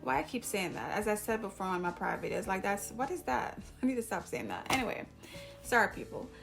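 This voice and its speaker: A woman's voice: mid-range voice, lively intonation, a little fast, creaky, fairly bright tone, soft background music. A woman from Jamaica with a Jamaican accent, talking casually to her viewers as a video host, rambling and interrupting herself. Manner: chatty, rambling, self-interrupting. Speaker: YouTube host. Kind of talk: casual talk to camera.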